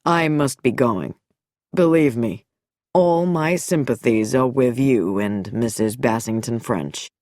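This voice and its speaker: A woman voicing a smooth, coolly amused middle-aged male doctor who speaks in a measured, quietly mocking way. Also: very deep voice, no echo, studio quality, pitched below the performer's own voice. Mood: suave, amused.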